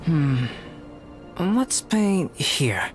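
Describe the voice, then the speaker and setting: A composed, scholarly young artist. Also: male voice, a little high, full character voice. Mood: calm. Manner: calm, measured, formal. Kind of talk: video game voice acting. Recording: music behind the voice.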